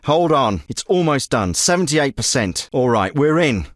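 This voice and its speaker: An actor voicing a tough, curt special forces handler in a military video game, giving orders in a clipped, commanding way that turns profane under pressure. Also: male voice, medium pitch, big pitch swings, moderate pace, no room sound, studio quality, lightly acted. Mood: urgent. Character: tough covert ops handler, middle-aged man, curt. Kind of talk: game voice acting.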